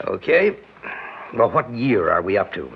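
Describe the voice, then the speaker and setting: A man's voice: lowish voice, big pitch swings, measured pace. An actor playing an affectionate middle-aged husband and father, hearty and teasing, in a mid-century radio drama. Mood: jovial, teasing. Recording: muffled, noisy old radio recording.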